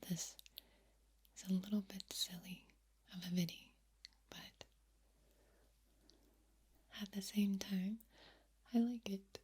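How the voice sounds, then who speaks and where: A woman speaking softly in a breathy ASMR whisper, close to the mic from ear to ear, lingering on and repeating words. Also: medium pitch, fairly even intonation, slow, pauses often. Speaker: ASMR video creator. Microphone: close microphone, speaking ear to ear.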